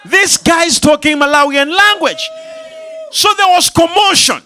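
High, bright-voiced male preacher. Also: lively intonation, measured pace, a little hiss or hum. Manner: call-and-response, rising to shouts. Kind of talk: sermon.

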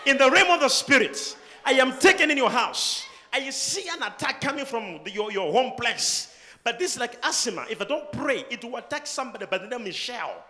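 A man leading a live church service as a prophet, questioning someone and growing to shouts. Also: extremely high pitch, animated, brisk. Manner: questioning, repeats "Huh?", rising to shouts.

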